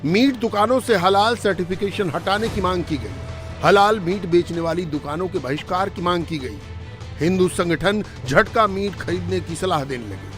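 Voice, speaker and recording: A man's voice: high-pitched, normal speed, fairly bright tone, faint music behind. A man reading a scripted television news report as its narrator.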